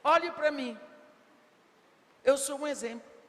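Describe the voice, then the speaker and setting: An older woman delivers a sermon at a slow, deliberate pace, leaning on rhetorical questions and rising to shouts. Her voice rings through a big church hall with a long reverb tail. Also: sits high. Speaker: preacher at women's congress.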